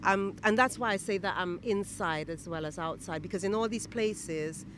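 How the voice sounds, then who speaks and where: A woman poet from Guyana, answering interview questions in a thoughtful, halting way full of restarts, outdoors near a church. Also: medium pitch, background noise.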